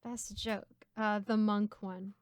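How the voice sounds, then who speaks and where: A woman's voice: mid-range voice, slow pace, no echo, studio quality. A woman chatting casually and giggling as she hosts a question-and-answer session with friends. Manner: giggly, animated. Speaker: host and voice actor answering viewer questions. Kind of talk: casual Q&A chat among friends.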